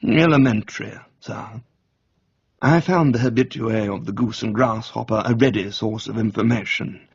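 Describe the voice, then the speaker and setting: Male reader as discreet valet, composed, formal, exact phrasing, husky, radio comedy, slightly muffled sound. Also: fairly low pitch, no room sound. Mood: calmly explanatory. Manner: measured, formal, precise.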